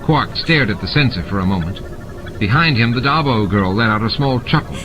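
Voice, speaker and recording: A man's voice: mid-range voice, expressive, fairly quick, dull audio, background noise. A man narrating fiction from a script as an audiobook reader. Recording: music and sound effects behind the voice. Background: added music and sound effects.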